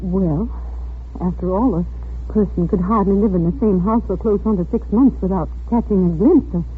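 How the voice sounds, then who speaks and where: An anxious, earnest landlady in a vintage radio thriller. Her voice is very husky and urgent, heard through a muffled, narrow old recording. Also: medium pitch, normal speed. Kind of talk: 1940s radio acting.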